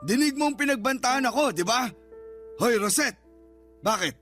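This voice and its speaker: A Filipino man playing a stubborn, irritable, ailing middle-aged father in a radio drama, gruff and confrontational. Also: extremely high pitch, expressive, moderate pace, fairly bright tone. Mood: angry, threatening. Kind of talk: Tagalog radio drama acting. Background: background music.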